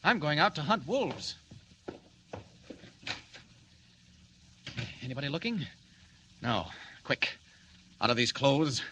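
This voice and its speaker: A vintage radio serial with faint background noise. a determined, self-assured reporter hero, highish and bright, delivering crisp lines with lively swings of pitch. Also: male voice, normal speed. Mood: urgent, heroic. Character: confident, determined male newspaper reporter and secret superhero. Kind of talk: old-time pulp radio drama acting. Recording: lightly noisy vintage radio recording.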